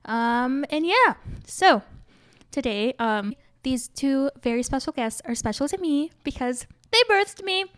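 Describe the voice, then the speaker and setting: A woman's voice: sits high, animated, a little slow, a bit bright, dry recording, clean studio sound. A woman in her twenties from Chicago, hosting a casual music podcast in a bubbly, giggly, slangy way. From Chicago, Illinois. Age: 20s. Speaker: podcast host.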